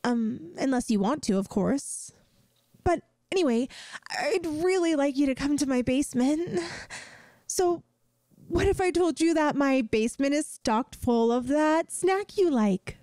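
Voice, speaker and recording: A woman's voice: sits high, animated, unhurried, dark tone, no echo, clean studio sound. A woman playing a bubbly, inept, lovestruck young yandere student in an audio roleplay, rambling and pleading dramatically with the listener. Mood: pleading, coaxing. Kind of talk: scripted ASMR audio roleplay, addressing the listener.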